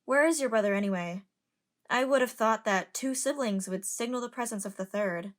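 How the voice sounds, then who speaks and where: A woman's voice: a little high, normal speed, natural voice. A woman voicing a casual, slightly awkward young passenger in an amateur audio drama.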